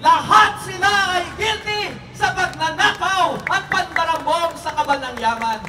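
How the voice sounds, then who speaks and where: A Filipino man gives a fiery rally speech in a very high, forceful voice. He is emphatic and rhetorical, hammering out points one at a time. An echoing loudspeaker carries it over faint crowd noise. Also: a little slow, distant mic. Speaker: protest rally speaker. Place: outdoor street rally. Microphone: public address microphone.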